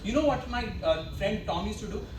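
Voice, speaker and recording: A woman's voice: mid-range voice, expressive, fairly quick, lightly acted. A woman acting as a rude, impatient male former student in an amateur stage play, curt and scornful. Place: echoey college hall stage. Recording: roomy, distant, noisy stage recording.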